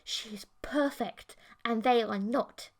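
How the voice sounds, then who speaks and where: A woman's voice: fairly high pitch, takes it slowly. Woman putting on a sneering, bitter internet forum man. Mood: ranting contempt. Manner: ranting, contemptuous. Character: bitter, sneering male forum poster obsessed with alien girlfriends. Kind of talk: audiobook character voice.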